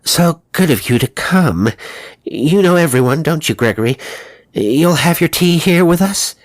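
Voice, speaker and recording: A man's voice: on the high side, animated, measured pace, no echo, pitched above the performer's own voice. A male narrator puts on a flustered English hostess from a storybook. The voice is lifted well up, genteel and British, with a fluttery, confiding manner and a very dry recording. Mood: flustered welcome.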